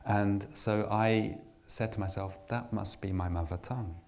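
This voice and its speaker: Deep gravelly-voiced man giving a talk. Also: steady tone, a little slow, a little mellow. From England. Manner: reflective, with frequent restarts and fillers. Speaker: invited speaker. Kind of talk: personal talk to an audience.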